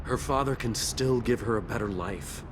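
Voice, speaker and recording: A man's voice: mid-range voice, moderate pace, noisy background, close to an everyday voice. An actor playing a defensive, bitter man in a video game, earnest and self-justifying. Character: defensive, bitter man, an old friend turned traitor. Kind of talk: dramatic video game acting. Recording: music behind the voice.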